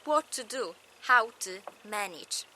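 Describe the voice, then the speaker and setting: A woman with a Polish accent, a native Polish speaker, giving a recorded lecture in slow, measured, short phrases. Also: mid-range voice, expressive, bright, forceful tone, a little hiss or hum.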